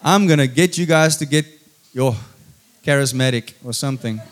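A man's voice: mid-range voice, normal speed. A man preaching a sermon in an emphatic way, repeating key phrases and putting teasing rhetorical questions to his congregation.

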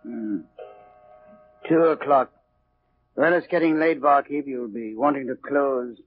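An actor playing an ancient, weary sea captain telling a brooding tale in 1940s radio style. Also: male voice, mid-range voice, faint music behind, close to an everyday voice. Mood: weary, casual. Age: older. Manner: unhurried, brooding storyteller. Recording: muffled 1940s radio recording.

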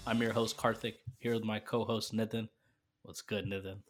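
A young man hosting a casual sports podcast, easygoing and quick to laugh, stumbling over his words now and then. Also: fairly low pitch, level tone, faint music behind. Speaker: podcast host.

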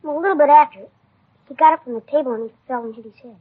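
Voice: high voice, racing, natural voice. A performer playing a worried, earnest young boy in a 1950s American radio drama, hesitant and confiding. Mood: troubled. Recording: muffled old radio recording.

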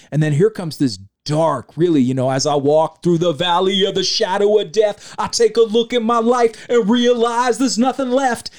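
A man co-hosting a podcast, talking casually and reflectively, his voice slightly hoarse. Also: a little high, no room sound, clean studio sound. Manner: relaxed, reflective, swears casually. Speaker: podcast co-host.

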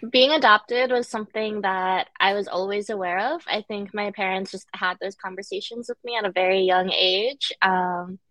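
A young woman from Connecticut, a guest in a casual interview, talking relaxed with many fillers and easy laughs. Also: medium pitch, normal speed, few pauses, dry recording. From United States, Connecticut. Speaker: guest, director and dancer.

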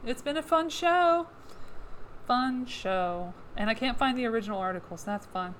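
A woman's voice: on the high side, animated, normal speed, fairly bright tone, slight background noise, low-quality recording. A woman hosting a podcast, reading news articles aloud and adding sarcastic asides, her voice worn down and fading. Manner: sarcastic asides between read passages. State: losing her voice. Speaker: podcast host.

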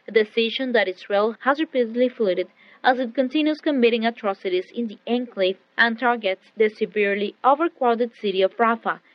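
A woman with a fairly high voice reads scripted radio news. The recording is dry, with little room sound, and somewhat dull and limited in its frequency range. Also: no echo. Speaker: radio newsreader. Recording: dull, band-limited shortwave radio audio.

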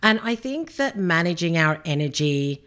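Australian woman, podcast host, slightly nasal, chatty, lower-pitched voice. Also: very animated, normal speed, a bit bright. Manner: upbeat, chatty, addresses listener directly. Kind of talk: casual solo podcast talk.

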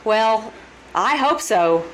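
A woman performs an anxious, impatient wife in an old-time radio drama. The voice is high and very animated, exclaiming and questioning with suspicion. A light layer of noise surrounds it. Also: drawn-out words. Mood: impatient, wounded. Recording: noisy live stage performance recording.

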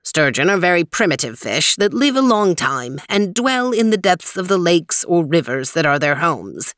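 A woman's voice: lowish voice, big pitch swings, moderate pace, a bit bright, no room sound, studio quality. A woman doing a chatty old male inventor. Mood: explaining. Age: older. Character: old male inventor on a screen, cheerful know-it-all.

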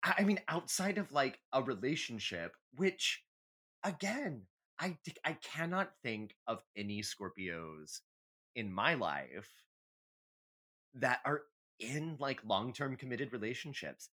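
This is a podcast co-host with a very lively, swooping delivery and an unhurried pace. The talk is casual, emphatic and opinionated, with blunt swearing in the manner of a comic rant. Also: mid-range voice, husky.

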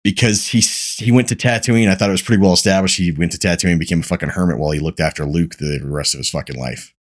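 A man hosts a casual podcast, his sinuses clogged and his voice starting to go. He talks in a low register, wandering and cursing as he chats. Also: quick pace. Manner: rambling, profane, laid-back. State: congested, losing his voice. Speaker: podcast host.

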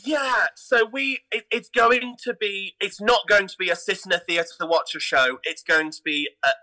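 A man's voice: sits high, moderate pace, sharp tone, rough recording. A man chatting casually as an interview guest, talking in an enthusiastic, effusive way about his work as a theatre director.

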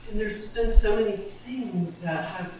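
A woman's voice: mid-range voice, mellow, far from the mic. Tearful, hesitant woman in her fifties. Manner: hesitant, halting, with many fillers. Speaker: audience member. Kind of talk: question-and-answer dialogue. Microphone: handheld mic. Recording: echoey hall, mic held away, noticeable noise.

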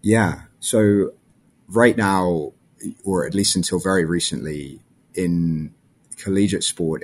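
A young man from London talks through his story in a friendly, thoughtful way, sometimes restarting a phrase. His voice sits very low in pitch. A faint hiss runs under the recording. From London, England. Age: 20s. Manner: friendly and reflective, with small restarts. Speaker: guest. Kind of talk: casual interview answers.

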